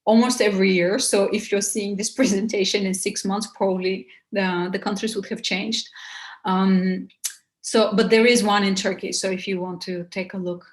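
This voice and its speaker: A woman giving an informal seminar presentation, speaking in a halting, hesitant way with many pauses. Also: medium pitch. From Bulgaria.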